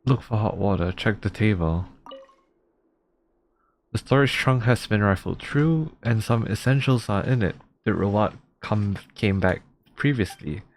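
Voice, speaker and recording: A man's voice: lowish voice, measured pace, slight background noise. A man giving casual let's play commentary as a streamer, often reading game prompts aloud. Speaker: streamer playing a video game. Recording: game music behind the voice. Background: video game music.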